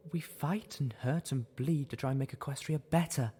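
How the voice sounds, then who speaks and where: Man voicing a distraught, tearful pony mare, pitched up. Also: normal speed, warm tone, slight background noise, lightly acted. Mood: anguished despair. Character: sobbing distraught female pony, despairing and pleading. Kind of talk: dramatised audiobook reading.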